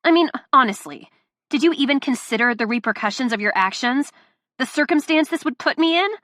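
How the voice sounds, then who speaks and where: A woman performing a young woman who is furious and near tears, ranting in a shrill voice pushed high and loud, as audiobook dialogue. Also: normal speed, dry recording, clean studio sound.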